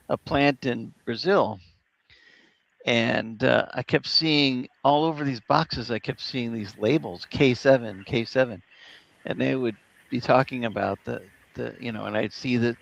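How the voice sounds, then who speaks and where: A middle-aged man telling casual anecdotes with dry humor in a relaxed discussion. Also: mid-range voice, vocal fry. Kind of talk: casual storytelling. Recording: dull lo-fi sound, faint noise.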